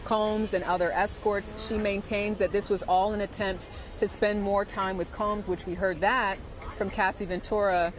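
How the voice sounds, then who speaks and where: A woman's voice: medium pitch, flat delivery, normal speed, noisy background. A woman giving a live news report as a correspondent.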